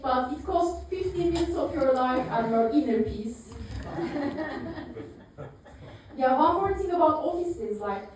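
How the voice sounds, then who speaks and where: High-voiced woman doing stand-up. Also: darkish tone, slight background noise. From Turkey. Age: 30s. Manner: dry, self-mocking, with many fillers and small laughs. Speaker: stand-up comedian. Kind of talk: stand-up routine. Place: live comedy venue, audience laughing. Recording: echoey room picked up from far off.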